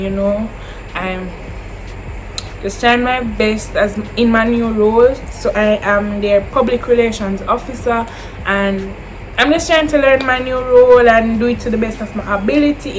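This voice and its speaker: A woman's voice: fairly high pitch, slight background noise, low-quality recording. Chatty young Jamaican woman vlogging. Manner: chatty, drifts into Jamaican Creole phrasing, repeats herself. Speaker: YouTuber answering viewer questions. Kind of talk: casual Q&A chat to camera.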